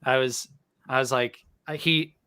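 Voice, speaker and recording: Bright-voiced young man, easygoing. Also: mid-range voice, fairly even intonation, a little slow, smooth. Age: 20s. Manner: easygoing, laughs often. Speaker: co-host. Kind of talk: casual chat.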